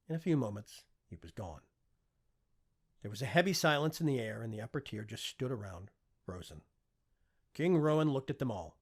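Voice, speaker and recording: Male audiobook narrator: dramatic and emphatic, mid-pitched husky voice, very expressive intonation. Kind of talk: dramatic scripted fiction narration.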